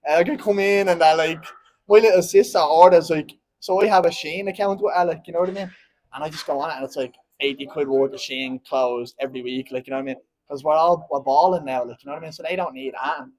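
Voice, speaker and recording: A man's voice: on the high side, fairly even intonation, fast talker, darkish tone, low-quality recording. A man in his twenties with a Dublin Irish accent, talking bluntly and heatedly at a post-fight press conference, rambling and often asking "you know what I mean". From Ireland. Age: 20s.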